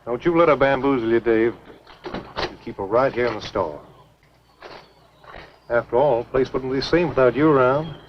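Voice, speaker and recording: An actor playing a sly, swaggering rural philanderer with a folksy Southern American accent in a 1950s B-movie. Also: male voice, mid-range voice, moderate pace, pauses often, natural voice. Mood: sly, mocking. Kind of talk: 1950s B-movie film acting. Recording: dull, faintly noisy 1950s film soundtrack.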